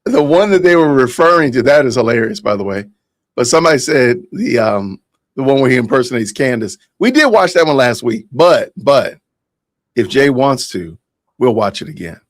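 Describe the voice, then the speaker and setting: A man's voice: mid-range voice, very animated, moderate pace, no room sound. A man hosting a podcast livestream in casual chat, reading comments and addressing viewers directly. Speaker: podcast host.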